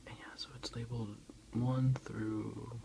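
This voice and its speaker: A man softly whispering in a breathy voice as he casually hosts an ASMR unboxing, unhurried and a little hesitant, recorded on a phone. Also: mid-range voice, flat delivery, heavy background noise. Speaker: ASMR video host.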